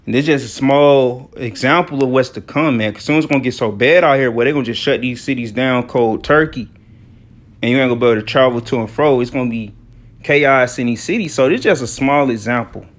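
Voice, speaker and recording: A man from the United States giving informal, slangy commentary on news and scripture, often punctuating his talk with filler phrases. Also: mid-range voice. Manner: conversational, slangy, repeats "all right" and "you know". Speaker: religious commentator.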